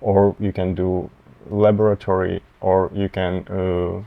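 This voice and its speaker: A young man in his twenties with a Russian accent, a medical student answering interview questions haltingly, often pausing to find words. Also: deep voice, flat delivery, gravelly, phone-quality sound. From Tatarstan, Russia. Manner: halting, searching for words, many fillers.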